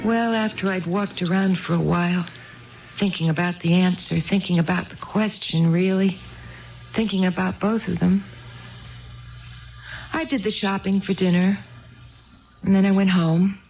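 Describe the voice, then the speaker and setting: A woman's voice: on the low side, steady tone, normal speed, pauses often, soft background music, close to an everyday voice. An actress playing an earnest, neglected but stubborn wife in a 1970s radio drama, plaintive and given to repeating her phrases. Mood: quiet recounting. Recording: dull lo-fi 1970s radio broadcast.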